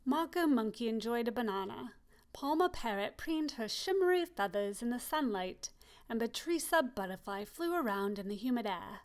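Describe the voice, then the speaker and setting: A woman reading a children's picture book aloud, expressive and slowing down on key phrases. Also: a little high. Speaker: storybook reader. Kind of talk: children's story read-aloud.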